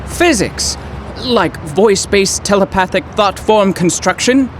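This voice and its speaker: Earnest, flustered professor, swooping voice. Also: male voice, high-pitched, expressive, measured pace, slight background noise. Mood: hopeful, curious. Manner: flustered, florid old-fashioned phrasing. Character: earnest, awkward poetry professor, romantic and wordy. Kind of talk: old-time radio drama acting.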